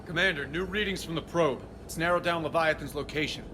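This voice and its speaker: A level-headed male shuttle pilot in a sci-fi game , played by a man. His fairly high voice reports in a businesslike way over the clear noise of a vehicle. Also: brisk, close to an everyday voice. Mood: measured, concerned. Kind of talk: dramatic video game acting. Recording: loud vehicle cabin ambience.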